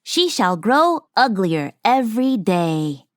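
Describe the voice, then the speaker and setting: A woman performs a small, magical dwarf man from a fairy tale. He is stern and issues his words like commands or decrees. His pitch moves in marked swings. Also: sing-song, slow pace, no echo, clean studio sound. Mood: solemn cursing. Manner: commanding, pronouncing. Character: three little male dwarfs, magical, stern judges.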